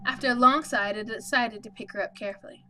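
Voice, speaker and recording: Anxious lost protagonist in a high voice, read by a woman. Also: sharp tone, slight background noise. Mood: pensive. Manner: measured, subdued, stumbles over some words. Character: anxious lost young protagonist of a horror visual novel. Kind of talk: reading visual novel text aloud.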